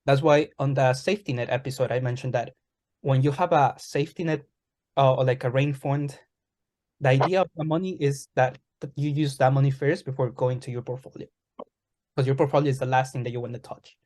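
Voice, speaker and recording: Male co-host, mid-range voice, relaxed and filler-heavy delivery. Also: mid-range voice, fairly quick. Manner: relaxed, many fillers like "kinda, like". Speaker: podcast co-host. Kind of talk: casual podcast chat.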